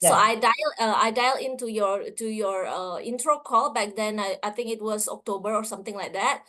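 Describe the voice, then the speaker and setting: A woman's voice: medium pitch, normal speed, bright, forceful tone. A woman in her late thirties from Indonesia, speaking as a guest in an interview over a video call, with many fillers and restarts. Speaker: interview guest, finance professional.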